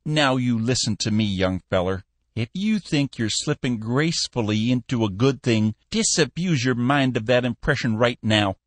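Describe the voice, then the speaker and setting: A man reading an audiobook, voicing a shrewd, meddling old shipping boss in a high, purring, amiably sly voice. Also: expressive, normal speed, dry recording, natural voice. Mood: sly amiable.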